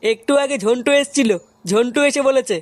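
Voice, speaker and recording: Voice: medium pitch, steady tone, normal speed, no echo, natural voice. A performer voicing a hot-tempered, foul-mouthed young male club leader in a comic village cartoon, bossy and scolding. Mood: urgent. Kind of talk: crude comic cartoon dub.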